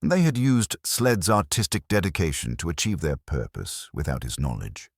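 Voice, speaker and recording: A man reading scripted mystery narration in a measured, formal storytelling manner. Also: low voice, very animated, no room sound, clean studio sound.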